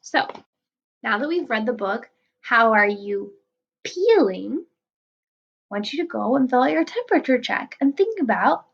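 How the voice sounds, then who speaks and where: A woman teacher reading a picture book aloud to children, gently explaining words and asking them questions. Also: sits high, highs cut off.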